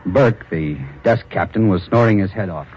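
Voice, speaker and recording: An actor playing a hard-boiled young police reporter. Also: male voice, sits low, moderate pace, darkish tone, slight background noise, close to an everyday voice. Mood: brooding. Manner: tough, wisecracking first-person narration. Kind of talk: 1940s radio drama narration and acting. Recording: dull lo-fi old radio recording.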